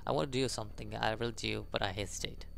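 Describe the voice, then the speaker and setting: Creaky-voiced man narrating a game. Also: on the low side, fairly even intonation, fast talker, fairly bright tone. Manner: stumbling while reading, reactive asides. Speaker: gaming channel host. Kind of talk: game commentary and reading aloud. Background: faint game music.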